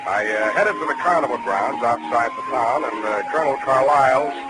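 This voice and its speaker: Actor as deadpan hard-boiled radio detective. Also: male voice, medium pitch, brisk, lightly acted, low-quality recording. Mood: sardonic. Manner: deadpan, wisecracking. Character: wry, hard-boiled male private detective. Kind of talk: 1940s radio detective narration. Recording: old 1940s radio broadcast, music behind.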